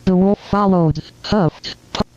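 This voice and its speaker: A woman playing the narrator of an eerie children's storybook, telling the tale in a measured, halting way. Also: medium pitch, fairly even intonation, a little mellow, a little hiss or hum, natural voice. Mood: eerie storytelling. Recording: dull lo-fi audio, faint music.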